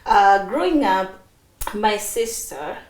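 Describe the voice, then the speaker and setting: A woman's voice: medium pitch, expressive, slow pace. A bubbly woman in her thirties with a Kenyan accent tells her personal story in a chatty way. Her voice sits mid-range for a woman. An echo fills the room around her. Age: 30s. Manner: bubbly, chatty, with many fillers and laughs. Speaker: interview guest.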